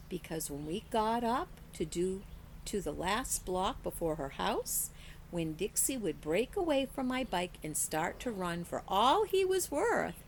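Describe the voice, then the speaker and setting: A woman reads a children's novel aloud in an expressive, teacherly style. Her pitch moves in lively swings and often settles into a creaky edge. Faint noise sits behind the voice. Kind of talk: expressive children's story reading.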